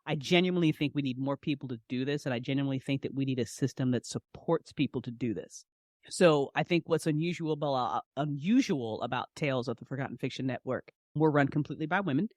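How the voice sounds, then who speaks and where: Low-pitched woman talking emphatically in interview. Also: fast, a little mellow, no echo, studio quality. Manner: emphatic, repeats phrases for stress. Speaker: podcast guest, audio drama writer and director. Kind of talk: casual, passionate interview talk.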